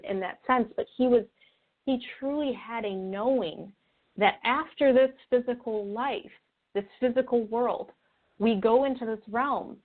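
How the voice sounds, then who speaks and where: A woman interview guest explaining her views in an earnest, reflective, conversational way. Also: on the high side. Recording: tinny narrow-band phone or call line.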